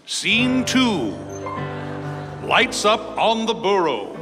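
A man's voice: high voice, very animated, very slow, full character voice. A man narrating stage directions at a live comedy staged reading. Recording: echoey hall with music.

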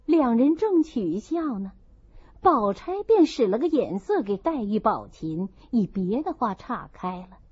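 A woman narrating a classic novel as an audiobook in an expressive storytelling style. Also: fairly high pitch, a little slow, slightly rough, no echo. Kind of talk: expressive literary storytelling, character voices. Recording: dull lo-fi dry recording.

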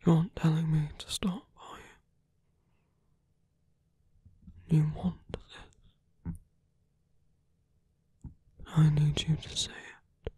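Man playing a husky, whispering seductive villain. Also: fairly high pitch, little pitch movement, mellow, no echo. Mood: seductive, insistent. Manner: slow, whispery, teasing, deliberate. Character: seductive male villain, smug, coaxing, possessive yet courteous. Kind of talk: intimate ASMR roleplay acting.

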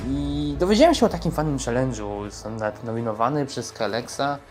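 A young man introduces a video in a casual, rambling way. He hesitates as he goes, with a mid-pitched voice and light music behind him. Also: animated, brisk. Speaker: gamer and amateur voice-over creator.

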